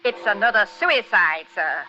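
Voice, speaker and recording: An actress playing a brisk, sharp-tongued housekeeper at a village inn in a 1940s radio drama. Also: female voice, mid-range voice, expressive, a little hiss or hum. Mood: disapproving. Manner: scolding, disapproving. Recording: dull lo-fi 1940s radio recording.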